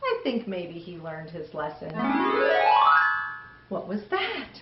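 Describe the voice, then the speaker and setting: A woman's voice: lowish voice, huge pitch swings, slow, roomy sound, lo-fi sound, over loud music. A woman hosting a children's storytime, reading aloud expressively and chatting enthusiastically with young listeners. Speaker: children's storytime host and reader.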